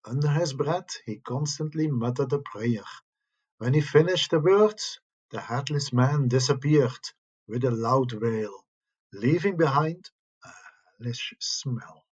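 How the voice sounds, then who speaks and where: Male, husky tone, unhurried pace, mid pitch, scripted storytelling narration.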